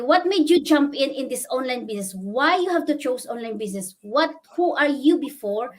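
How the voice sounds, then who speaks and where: High-pitched, effusive woman host. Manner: effusive, emphatic, repeats phrases. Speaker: host interviewing a guest. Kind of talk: livestream interview hosting.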